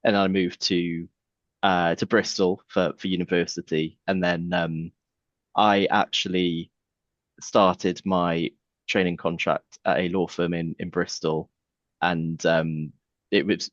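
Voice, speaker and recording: A man in his thirties from Cardiff speaking as a panel guest, recounting things conversationally in a halting, measured way. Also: low-pitched, dry recording, dull audio. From Cardiff, Wales. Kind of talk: conversational webinar account.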